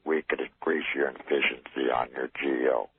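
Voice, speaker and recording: A man voicing a menacing, smug alien devil with a constricted, gravelly voice, taunting in cryptic phrases on a radio phone-in. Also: a bass voice, steady tone, moderate pace. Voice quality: gravelly, constricted, throat-straining. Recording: tinny noisy phone line.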